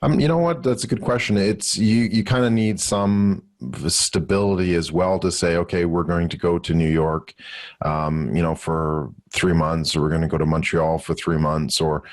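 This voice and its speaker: A Canadian man giving casual interview answers as a guest, talking haltingly and with good humour. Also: low voice, steady tone, no room sound. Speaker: podcast guest, business mentor and speaker.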